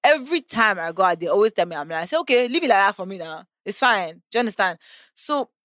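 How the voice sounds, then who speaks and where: Woman, 20s, animated, casual chat, dry recording. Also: mid-range voice, fast talker, dry recording. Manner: animated, acts out quoted voices. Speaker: podcast guest. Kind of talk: casual conversation.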